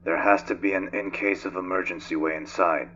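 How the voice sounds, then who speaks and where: A man playing a brooding, weary acting captain of a space freighter, measured and dry, in a fan-dubbed game. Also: sits low, fairly even intonation, fairly bright tone, dull audio, slight background noise. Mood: firm, grave. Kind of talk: dramatic fan dub of a video game. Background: music.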